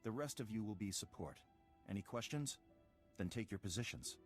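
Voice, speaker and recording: A man playing a stern, patient Jedi master in a video game, speaking in a measured, formal, authoritative way. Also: lowish voice, lively intonation, faint music behind, lightly acted. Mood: firm, instructive.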